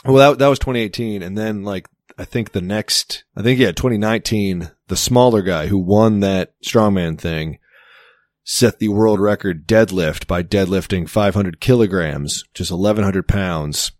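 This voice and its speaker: Low-voiced man telling a rambling story. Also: moderate pace, no room sound. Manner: rambling storytelling with frequent restarts and swearing. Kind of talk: casual chat.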